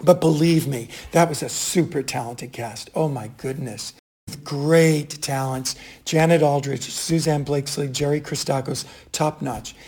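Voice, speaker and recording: A man in his sixties from Boston, with a Boston accent, telling stories from his stage career in a chatty, animated way. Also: on the high side, measured pace, breathy edge. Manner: chatty, animated anecdotes with fillers. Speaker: stage actor and director. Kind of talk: casual interview storytelling.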